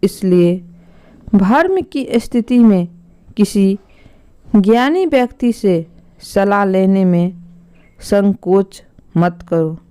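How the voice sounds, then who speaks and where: A wise, patient king voiced by a woman storyteller counsels his sons in a storybook tale. The voice is mellow and clear, with an authoritative, instructive manner. Each piece of advice is laid out slowly. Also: medium pitch, lively intonation. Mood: calm, advising.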